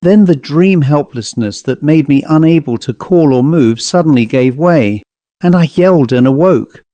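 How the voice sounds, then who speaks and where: A man's voice: fairly high pitch, lively intonation, moderate pace, warm tone, no room sound. Man narrating a ghost story, dry close recording. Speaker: audiobook narrator.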